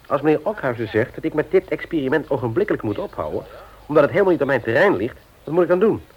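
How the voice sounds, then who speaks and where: A man's voice: a little high, sing-song, quick pace. An actor playing a middle-aged husband and father in a Dutch radio play, teasing, hearty and mock-indignant in a domestic scene. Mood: measured, earnest. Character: grumbling but affectionate middle-aged husband and father, office man. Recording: dull, lo-fi old radio recording.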